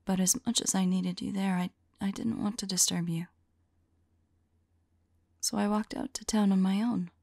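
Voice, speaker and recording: A woman playing a remorseful, lovelorn female vampire in an ASMR roleplay, whispering softly and tenderly in a halting, intimate monologue. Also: medium pitch, steady tone, no echo, clean studio sound. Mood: haunted, sorrowful. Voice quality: soft, breathy whisper. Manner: slow, halting, tender delivery.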